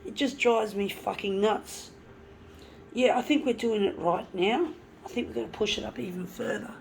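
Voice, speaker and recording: A woman, hoarse from a flu with a blocked nose, chatting and swearing freely as she works. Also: mid-range voice, normal speed, slight background noise, poor audio. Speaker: artist. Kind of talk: casual talk while working.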